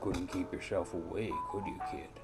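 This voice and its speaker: Guy acting as a low voiced world-weary noir private eye, brooding rambly narration, music playing underneath. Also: little pitch movement, moderate pace, vocal fry. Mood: wry, flirtatious. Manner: brooding, florid, repetitive wordplay. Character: world-weary, rambling hard-boiled male private detective. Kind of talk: noir audio drama narration.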